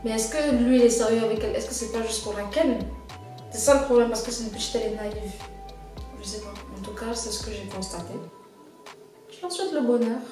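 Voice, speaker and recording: A woman sits for a reality show confessional, gossiping in loose, casual slang. Her voice sits a little high. She is heard from a distance in a very echoey room with music underneath. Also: fairly quick. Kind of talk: confessional to camera.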